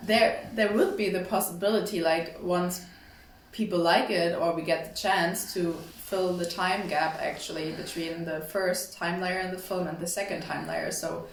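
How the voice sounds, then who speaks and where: A woman's voice: lowish voice, fairly even intonation, moderate pace. A woman being interviewed as an actress, speaking casually and thoughtfully with some hesitation. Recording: distant mic, echoey noisy room.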